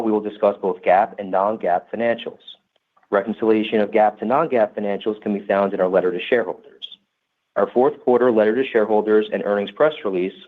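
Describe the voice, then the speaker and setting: A man's voice: lowish voice, narrow, tinny audio. A man working in investor relations, reading a scripted corporate disclaimer in a formal manner.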